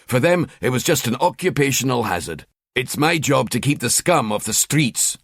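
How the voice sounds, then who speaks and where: A man's voice: mid-range voice, animated, normal speed, no echo, clean studio sound. A man voicing a cynical middle-aged detective with a Scottish accent, grumbling and blunt.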